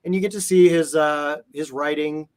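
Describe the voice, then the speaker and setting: A man's voice: on the high side, steady tone, normal speed, darkish tone, clear, resonant tone. A man speaking casually as an interview guest, explaining things in a hesitant, circling way. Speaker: guest, comic art collector.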